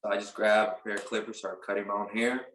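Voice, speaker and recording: Low-voiced young man, echoey room. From Puerto Rico. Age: late 20s. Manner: relaxed, halting, lots of "like" and restarts. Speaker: barber and business owner, guest. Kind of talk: casual interview.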